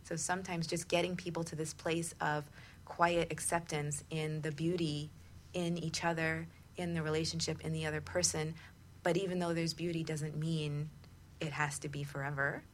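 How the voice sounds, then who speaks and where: A woman's voice: low voice, flat delivery, normal speed, slight background noise. A woman hosting a talk show, giving conversational advice in an emphatic manner.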